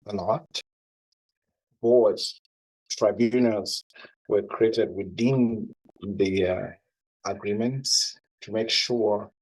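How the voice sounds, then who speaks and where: Slow, deep-voiced man. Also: a little mellow, low-quality recording. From Cameroon. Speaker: guest speaker, wildlife manager. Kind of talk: formal talk to a class.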